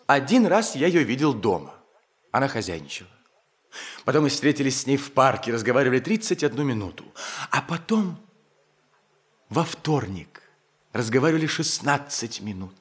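An earnest, lovesick young male mathematician in a 1960s radio comedy. His rather high voice moves widely in pitch. He sounds plaintive and unsure of himself. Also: big pitch swings, moderate pace, long pauses. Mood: earnest, lovelorn.

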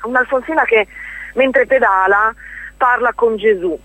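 A woman's voice: fairly high pitch, unhurried, fairly bright tone, dry recording, rough recording. A woman answers interview questions conversationally, often hesitating and rephrasing as she explains. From Romagna, Italy. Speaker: stage actress, interview guest.

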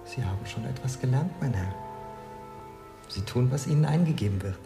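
A man's voice: mid-range voice, lively intonation, moderate pace, creaky, over loud music. An actor playing a young male servant in a German radio drama, enigmatic and obedient, speaking formally and cryptically. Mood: cryptic, musing.

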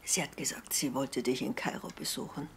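An actress playing an agitated, suspicious middle-aged mother in a radio drama, with a raspy voice and a defensive, stubborn manner. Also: a bass voice, steady tone, a little fast, fairly bright tone, whispered. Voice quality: raspy, creaky, breathy. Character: agitated, suspicious mother at her wits' end.

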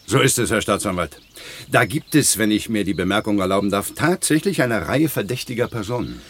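A man's voice: lowish voice, animated, a little fast. An actor playing a dutiful, obsequious police sergeant in a radio crime drama, formally reporting to his superior. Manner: deferential, crisp military formality.

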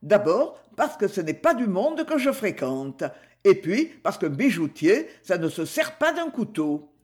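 A man's voice: sits high, very animated, a little slow. A man playing a shabby tramp with an alcohol-worn voice who rambles through slangy excuses. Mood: excusing. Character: shabby old male tramp, sly and easygoing.

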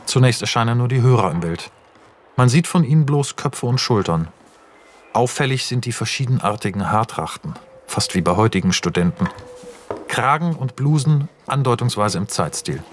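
A man performing a radio-play narrator who reads out scene directions. His medium-pitched voice is factual and clipped, with faint ambient noise behind it. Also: expressive, moderate pace, lightly acted. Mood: matter-of-fact. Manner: factual, clipped screenplay reading. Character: matter-of-fact male narrator announcing film-script scenes. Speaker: narrator reading stage directions. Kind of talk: scripted narration in radio drama.

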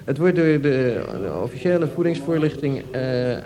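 A man in an informal radio discussion, answering questions as an expert. He explains step by step in a hesitant way, often searching for the right word, at a middling pitch. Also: expressive, normal speed, a crowd in the background.

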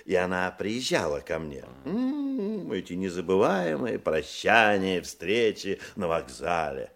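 A boastful, nervy male womaniser in a radio drama. His lowish, creaky voice swings extravagantly up and down. He is effusive and theatrical, given to hesitant stammering. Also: wildly swooping pitch, slow pace, a bit bright, exaggerated voice. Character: boastful, florid, nervous womanising male hotel guest.

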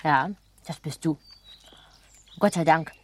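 A woman's voice: low voice, takes it slowly. A woman acting a prim, curious young girl, the heroine's friend, in a German radio play.

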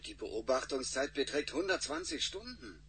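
A man's voice: medium pitch, big pitch swings, moderate pace, a loud crowd, close to an everyday voice. An actor playing a grim, pedantic male scientist in a radio drama, precise and biting in manner. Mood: worried, precise. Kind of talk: German radio drama acting.

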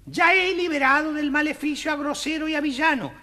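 Tiny storybook dwarf, squeaky high, sharp, excitable, soft-hearted grumbler, theatrical. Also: brisk. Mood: chatty, mock grumbling. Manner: theatrical, exclamatory. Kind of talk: children's audio storybook acting.

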